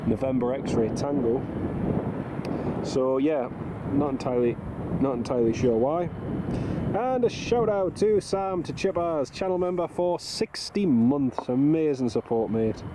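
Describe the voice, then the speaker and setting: A man's voice: medium pitch, expressive, unhurried. A man with a stuffy cold, chatting casually to livestream viewers and greeting them by name. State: bunged up from a cold. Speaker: livestream host. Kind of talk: casual live commentary to viewers. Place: outdoors at an airport. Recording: loud outdoor aircraft and traffic noise. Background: aircraft and vehicle noise.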